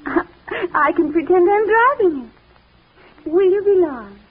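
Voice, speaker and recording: An actress playing a naive, clinging sister who is timid, trusting and eager to please, in 1940s radio drama style. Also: female voice, very high-pitched, lively intonation, takes it slowly, full character voice. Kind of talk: 1940s radio mystery acting. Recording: tinny, noisy 1940s radio recording.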